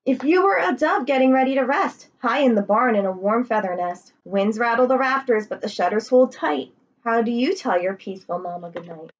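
A young woman reads a picture book to children in a fairly high, breathy-edged voice. She is expressive and often turns to her listeners with questions. The webcam recording sounds a little dull. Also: a little fast. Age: young adult. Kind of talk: children's read-aloud with asides. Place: living room, indoors.

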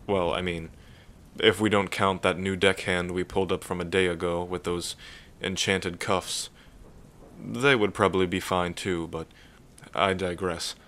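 A man playing a gentle, bashful pirate captain in a soothing, hesitant, soft-spoken ASMR roleplay. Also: deep voice, steady tone, unhurried. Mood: reassuring.

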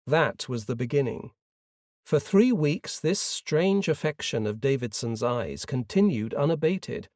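A man's voice: mid-range voice, lively intonation, a little slow, a little mellow, dry recording, studio quality. A man with an English accent giving a scripted reading of a literary story. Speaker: audiobook narrator.

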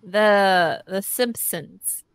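A woman hosting a podcast at home, asking easygoing questions in a relaxed, casual way on a studio mic. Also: mid-range voice, clear, resonant tone, no echo. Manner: unhurried, asks easygoing questions. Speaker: podcast host. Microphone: studio mic on arm, headphones.

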